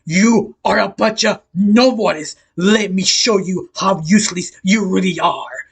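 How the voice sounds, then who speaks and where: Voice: mid-range voice, creaky, breathy edge. Cruel fat mafia pig boss, acted by a storyteller, male, pompous story villain, drawing out every threat. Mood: menacing. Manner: slow, drawn-out, grandiose threats.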